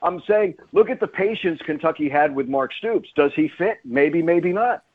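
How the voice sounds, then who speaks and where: A man's voice: fairly high pitch, lively intonation, normal speed, husky. A man speaking as a radio guest and play-by-play announcer, giving emphatic sports commentary and repeating phrases for stress. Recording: phone-like narrow-band radio line.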